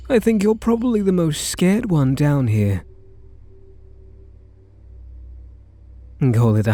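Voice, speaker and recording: Male actor as British kidnapper, ASMR roleplay, wry, slow halting delivery, swooping pitch, gruff but softening. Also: no room sound. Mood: cool, probing. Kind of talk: ASMR roleplay acting, intimate one-sided dialogue.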